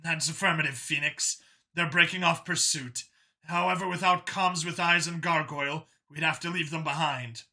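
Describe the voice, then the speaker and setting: Man voicing a brisk, tense female squad leader. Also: on the high side, steady tone, sharp tone. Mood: businesslike. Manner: clipped military radio talk.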